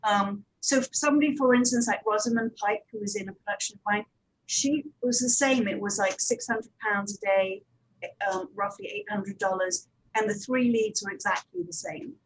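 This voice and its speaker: A woman's voice: medium pitch, moderate pace, slight background noise, low-quality recording. A woman giving informal, practical advice as a speaker on a conference panel. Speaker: panel speaker, audio drama producer.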